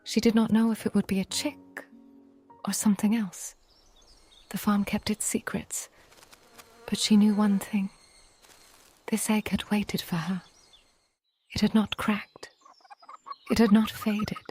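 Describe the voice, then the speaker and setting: A woman narrating a scripted bedtime story in a soft, breathy, near-whispered voice, gentle and unhurried, with long pauses. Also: mid-range voice, flat delivery, faint music behind.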